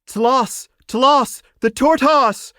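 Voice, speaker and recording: A man voicing elated forest animals chanting loud, adoring cheers in a children's fantasy audiobook. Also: very high-pitched, slow pace, dry recording, clean studio sound, pitched above the performer's own voice, exaggerated voice. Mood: elated cheering.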